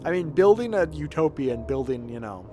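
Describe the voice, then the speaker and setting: A man streams a game with casual, rambling commentary. His voice sits a bit high and moves around in very lively swings. He is sardonic and swears freely, over some background music. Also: a little fast. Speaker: game livestreamer.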